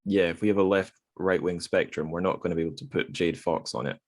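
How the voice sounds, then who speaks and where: A man's voice: low-pitched, fairly even intonation, quick pace, dry recording, clean studio sound. A man hosting a literary podcast, talking casually with an informal, self-deprecating manner. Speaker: podcast host.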